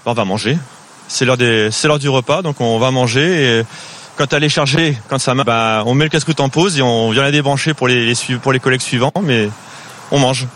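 A man's voice: mid-range voice, fairly quick, fairly bright tone. A man answering a roadside vox pop in a casual, easygoing, matter-of-fact way. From Oise, France. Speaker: interviewed holiday driver. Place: roadside charging station. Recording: light background noise on location.

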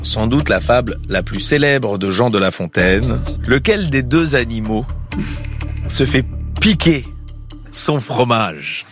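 Male radio quiz host, animated and teasing, over a music bed. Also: medium pitch, takes it slowly. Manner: teasing, lively, joking with the contestant. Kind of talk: playful quiz hosting.

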